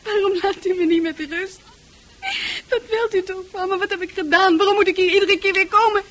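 An actress playing an agitated, frightened female psychiatric patient in a radio drama, halting and repetitive. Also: very high-pitched, fairly even intonation, fairly bright tone. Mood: agitated, pleading.

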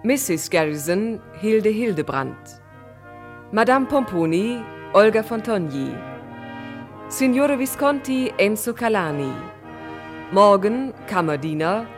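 Slow, formal female radio announcer. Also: mid-range voice, very animated, faint music behind. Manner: measured, enunciated. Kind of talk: formal scripted cast credits.

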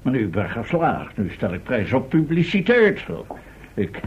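A man's voice: medium pitch, wildly swooping pitch, normal speed, slight background noise. A man playing a vain, fussy professor in a radio play, speaking formally and pompously on an old, muffled recording. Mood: self-important. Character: vain, fussy male professor, time-travelling scientist hungry for fame. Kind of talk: vintage Dutch radio drama acting.

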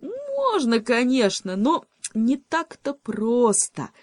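A woman's voice: a little high, huge pitch swings, slow pace, a little mellow, dry recording, voice pushed up, heavily acted. A woman voicing a sly male hedgehog in a children's story, speaking with mock gravity and teasing deliberation. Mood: ominous teasing.

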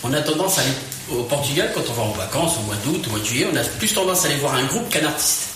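A man's voice: mid-range voice, expressive, fast talker, a bit bright. Stammering man in a very echoey room. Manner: earnest, repetitive, stammering restarts. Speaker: musician and radio guest. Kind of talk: casual radio chat. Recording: very echoey room with faint noise.

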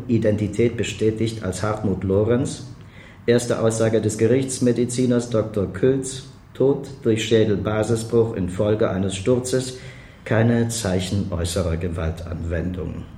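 An actor in a radio drama playing a patient, sceptical police interrogator with a measured, official manner. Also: male voice, on the low side, dark tone, lightly acted. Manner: measured, official, matter-of-fact. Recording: echoey room with faint background noise.

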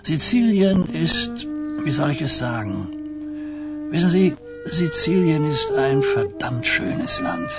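An actor in a radio drama playing an old Sicilian Mafia godfather, coarse and philosophical, who lectures in pondering phrases. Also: mid-range voice. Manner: pondering, lecturing, checks listener follows.

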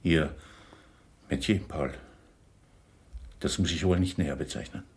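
An actor in a German radio drama playing a grave, middle-aged uncle who confesses insistently, in a deliberate and dramatic manner. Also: male voice, extremely low pitch. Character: confessing older uncle, grave, self-justifying yet pleading.

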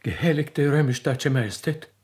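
A man's voice: fairly high pitch, normal speed, darkish tone, slight background noise. A man narrating a comic radio play in a mock-solemn, scripted way.

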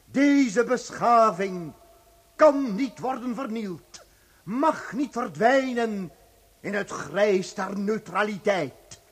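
A fanatical statesman in a radio drama, orating very slowly with dramatic pauses. His husky voice sits high and swings widely as he addresses his people with solemn grandeur. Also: animated, exaggerated voice. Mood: grandiose, fervent. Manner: declamatory oratory with dramatic pauses. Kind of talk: Dutch radio play acting.

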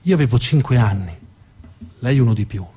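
A man playing a naive, proud eighteen-year-old boy in a stage monologue, confiding in a boyish, halting way. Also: medium pitch, animated, mellow, a little hiss or hum, voice pushed down, natural voice. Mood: bashful pride. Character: Pierino, boastful naive eighteen-year-old boy recalling his first kiss.

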